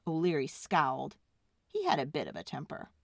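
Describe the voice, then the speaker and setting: Very animated woman storyteller. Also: low-pitched, gravelly, no echo, clean studio sound. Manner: expressive, deliberate storytelling. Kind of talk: dramatic children's read-aloud narration.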